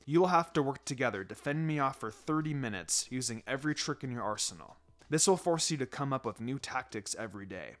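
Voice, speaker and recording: A stern adult ninja teacher, acted out by a male narrator, in a story reading. He speaks low and measured, laying down rules with pleasant menace. Music plays behind him. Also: animated, a bit bright, lightly acted. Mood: calm, instructing. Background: background music.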